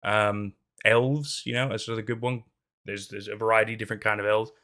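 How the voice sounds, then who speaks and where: A man's voice: on the low side, flat delivery, brisk, bright, forceful tone. A young man narrating a horror story, then chatting casually with lots of fillers and restarts, recorded in a small room at a desk. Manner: rambling, hesitant with many fillers in commentary. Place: small room in front of green screen.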